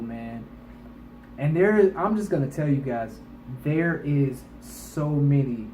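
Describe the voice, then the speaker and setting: Echoey, higher-pitched man, casual vlogger. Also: a little mellow. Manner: emphatic, colloquial, repeats himself for emphasis. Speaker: movie review vlogger. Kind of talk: casual movie review to online viewers. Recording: echoey room with faint background noise.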